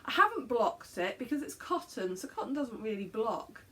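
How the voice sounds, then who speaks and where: A woman's voice: a little high, sing-song, moderate pace, fairly bright tone, breathy edge. A woman in her fifties with an English accent, hosting a knitting podcast in casual chat, rambling with many fillers and self-corrections while talking to a camera in a small room at home. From England. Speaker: podcast host. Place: small bedroom craft room, indoors.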